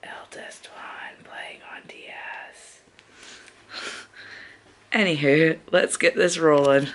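A woman in her thirties talks into a phone at arm's length, vlogging her family's day. Her voice sits a little low, and she takes her time, drawing the words out. She is chatty and teasing as she narrates. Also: fairly even intonation, takes it slowly, in a whisper. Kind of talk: casual vlog chat to camera. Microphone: phone held at arm's length.

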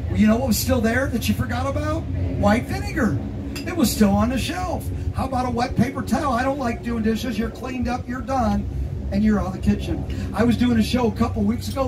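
A man's voice: extremely high pitch, far from the mic, background noise. A middle-aged man from Missouri giving a live cookware sales demonstration in an open tent at an outdoor fair, joking with the crowd in a folksy way.

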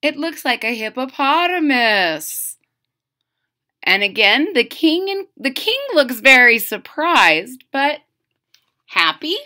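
A middle-aged woman reading a children's picture book aloud with deliberate, theatrical phrasing. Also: sits high, big pitch swings, pushed and bright. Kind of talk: expressive children's read-aloud. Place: small room at home.

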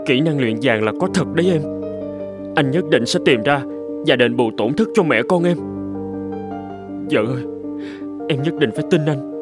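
An actor playing a naive, obsessed young husband in an animated folk tale, earnest and pleading, stammering when flustered. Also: male voice, on the high side, moderate pace, pauses often, close to an everyday voice. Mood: apologetic, insistent. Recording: music bed under the voice.

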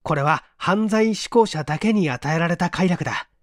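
A man voicing an eager, scheming mystery writer with an animated, persuasive manner in a storybook reading. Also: on the high side, normal speed, no room sound, studio quality, close to an everyday voice. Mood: excited, confiding. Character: scheming male mystery writer, eager, pleased with his mischief.

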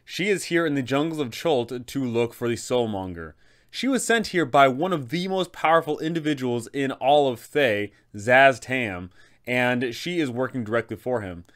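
Clear-voiced young man explaining. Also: mid-range voice. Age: young adult. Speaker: host, game master advisor. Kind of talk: explanatory tabletop game commentary. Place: small furnished room indoors.

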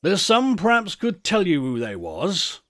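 A man's voice: high-pitched, wildly swooping pitch, unhurried, a bit bright, voice pushed up, full character voice. A man voicing a superstitious old shepherd in a storybook, with a rustic English country dialect and a grave, folksy, emphatic way of warning. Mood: grave, eerie.

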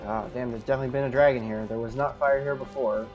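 A man's voice: medium pitch, steady tone, fast talker, muffled recording, soft background music. A man giving in-character commentary as he plays a fantasy video game. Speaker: gamer roleplaying his character.

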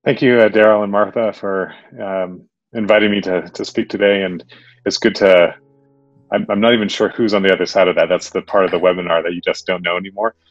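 A man giving a casual, halting webinar talk over a video call. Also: low voice, level tone, no echo, faint music behind. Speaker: guest speaker, tech entrepreneur. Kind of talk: webinar presentation. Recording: dull, dry video-call audio.

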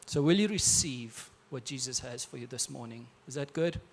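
A man in his thirties with an Afrikaans accent, preaching a sermon on a church stage into a handheld mic in a relaxed, conversational way with restarts. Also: mid-range voice, moderate pace, gravelly. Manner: conversational, with frequent filler and repeated words. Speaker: pastor. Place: large church stage, indoors.

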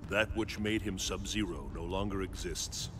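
A man's voice: sits low, fairly even intonation, noisy background, natural voice. Solemn, wise thunder god acted by a man. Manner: formal, measured. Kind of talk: video game voice acting. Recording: music under the voice.

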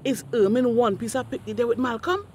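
A woman's voice: fairly high pitch, animated, a little fast, darkish tone, close to an everyday voice. An actress with a Tobagonian accent playing a nosy, grumbling village washerwoman who exclaims to herself in a radio drama. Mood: nosy, scandalised. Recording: noisy boat engine ambience. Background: boat engine noise.

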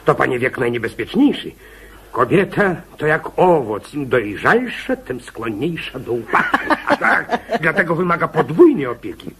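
A man's voice: fairly high pitch, very animated, normal speed, a bit bright. A man acting in a radio comedy as a jealous, overprotective husband, stammering, fussy, pompous and self-righteous. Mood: boastful storytelling.

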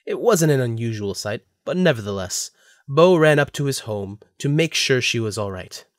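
A man's voice: mid-range voice, expressive, normal speed, no echo. Dry-sounding male story narrator.

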